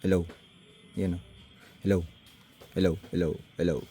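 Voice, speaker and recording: A man's voice: low voice, steady tone, moderate pace, warm tone, faint music behind. A man with a Filipino accent giving a casual hands-on gear demonstration, thinking aloud in a tentative way. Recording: faint background noise.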